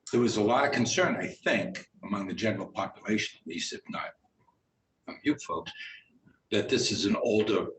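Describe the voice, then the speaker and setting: Male, lowish voice. Casual meeting participant posing questions in a reverberant room with faint noise. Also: lively intonation, moderate pace, a bit bright. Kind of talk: casual conversational remarks. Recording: roomy sound, faint rumbling noise.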